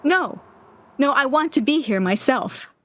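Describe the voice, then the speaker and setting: A woman's voice: high-pitched, lively intonation, measured pace, fairly bright tone, lo-fi sound. An actress playing a lovestruck, stubbornly hopeful young woman in a 1940s radio drama, with an insistent, dramatic manner. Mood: anxious, stubborn.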